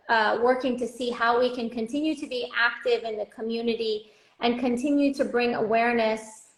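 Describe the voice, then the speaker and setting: Woman organizer, friendly and a bit rambling, slightly high voice, roomy echo. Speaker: guest community organizer. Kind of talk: casual chat.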